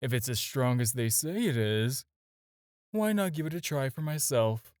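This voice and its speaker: A man's voice: medium pitch, lively intonation, normal speed, a little mellow, clear, resonant tone, no room sound, clean studio sound, close to an everyday voice. A man acting a flirty, self-assured supervillain in an audio roleplay, talking to the listener in a teasing, casual, conversational way. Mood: teasing, earnest. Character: flirty, smooth, self-assured male supervillain courting his tailor.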